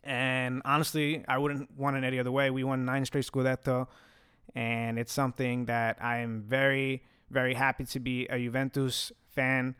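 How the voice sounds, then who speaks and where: A man's voice: mid-range voice, level tone, normal speed, fairly bright tone, clear, resonant tone, dry recording, studio quality. A young man whose first language is Italian, hosting a casual fan Q&A, chatty and joking, with a slight stutter and restarts. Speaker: host answering fan questions. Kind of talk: casual Q&A chat.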